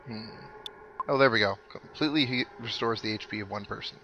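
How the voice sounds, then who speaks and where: A man's voice: on the low side, fairly quick, bright, forceful tone, slight background noise, rough recording. A man giving casual commentary over a video game playthrough, thinking aloud with mild exclamations. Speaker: gaming video host. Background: video game music.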